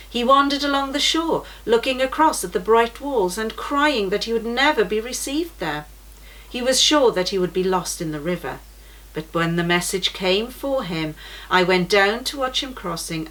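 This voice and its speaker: A woman reading a children's storybook aloud in a gentle, measured way. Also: mid-range voice, animated, low-quality recording.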